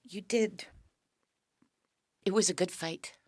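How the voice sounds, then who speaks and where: An actress playing an older, dying cancer patient in an audio drama, weary, frank and dryly resigned. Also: female voice, mid-range voice, slow pace, darkish tone, breathy edge, no echo. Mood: resigned, wry.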